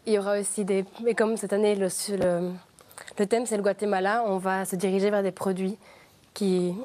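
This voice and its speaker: A woman's voice: mid-range voice, little pitch movement, normal speed, a little mellow. A young woman with a Belgian French accent, eagerly describing her group's plans as an interview guest. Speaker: student group member, guest.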